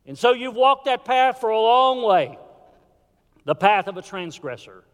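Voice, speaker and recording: A high-voiced man delivers a sermon from a church pulpit, his voice ringing out with a long hall reverb. He preaches with fervor, then drops suddenly to a near-hush. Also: expressive, unhurried, clear, resonant tone. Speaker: pastor. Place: church sanctuary.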